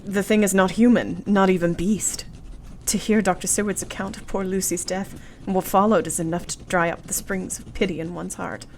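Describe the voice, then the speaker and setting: An actress playing an earnest, tender young Victorian wife in a radio play, with formal period diction. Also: female voice, mid-range voice, steady tone, moderate pace, slight background noise, close to an everyday voice, rough recording. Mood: fervent.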